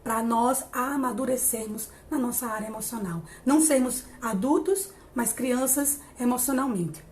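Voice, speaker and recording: A woman in her late thirties giving an encouraging live-stream talk on emotional growth, pausing often and restarting her sentences. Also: fairly high pitch, a little hiss or hum. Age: 30s. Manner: warm, encouraging, many hesitations and restarts. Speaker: psychologist hosting a live stream.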